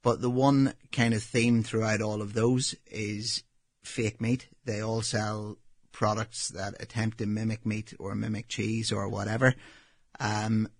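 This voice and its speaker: A Northern Irish man in his thirties, a restaurant owner answering interview questions in a relaxed, matter-of-fact way with frequent ums. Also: lowish voice, no room sound, clean studio sound. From Northern Ireland.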